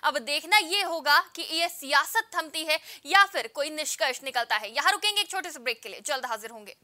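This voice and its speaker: A young woman anchoring a TV news bulletin in a studio, reading her script with crisp, formal delivery. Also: high-pitched, lively intonation, fairly quick, pushed and bright.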